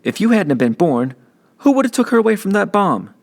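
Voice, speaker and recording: A man's voice: high voice, very animated, brisk, voice pushed up. A man voicing a tough, streetwise teenage older brother who lectures bluntly in slang. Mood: insistent. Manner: scolding, slangy, forceful lecturing.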